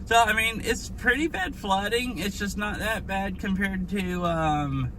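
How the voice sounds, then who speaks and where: A hoarse young man streaming outdoors, chatting casually and rambling with lots of fillers. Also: sits high, unhurried, bright, forceful tone, slight background noise. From Washington state. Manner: rambling, filler-heavy, with frequent "like". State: hoarse from lost voice. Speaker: IRL streamer. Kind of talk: casual live-stream chat. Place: outdoors by a flooded river.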